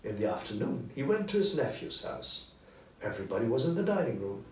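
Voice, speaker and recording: Man, expressive storybook narration, lively pitch movement, echoey room, faint noise. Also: a little fast. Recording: roomy echo, distant mic, faint noise.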